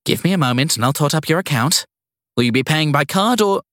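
A male narrator puts on a helpful guesthouse landlady for an audiobook. The voice is lifted high and moves briskly, courteous, cheery and quick to apologise. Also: lively intonation, fairly bright tone, dry recording, studio quality. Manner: solicitous, courteous.

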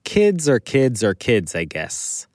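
A man narrating a scripted documentary as host, phrasing deliberately with dramatic pauses, close on the microphone. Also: medium pitch, wildly swooping pitch, darkish tone, no room sound.

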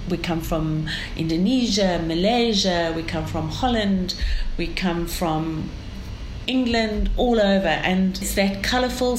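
A woman from South Africa with a South African accent, describing her city as an interview guest in an enthusiastic, storytelling way. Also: on the low side. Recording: echoey room with faint ambient noise.

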